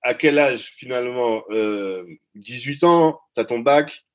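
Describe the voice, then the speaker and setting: Guy asking questions calmly, mid voice, slightly muffled recording. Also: mid-range voice, takes it slowly. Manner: calm, prompting questions. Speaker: interviewer. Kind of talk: casual interview.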